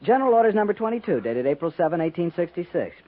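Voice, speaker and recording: Dull vintage radio drama: pedantic American junior officer, quick, lively pitch, eager to please superiors. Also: male voice. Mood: officious, pedantic. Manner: deferential, pedantic, flustered. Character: earnest, fussy by-the-book junior army officer. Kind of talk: 1950s radio drama acting. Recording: dull 1950s radio recording.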